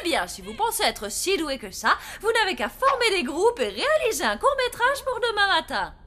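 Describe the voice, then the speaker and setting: A woman's voice: very high-pitched, wildly swooping pitch, moderate pace, sharp tone, full character voice. A woman voicing an exasperated, prim schoolteacher in a cartoon.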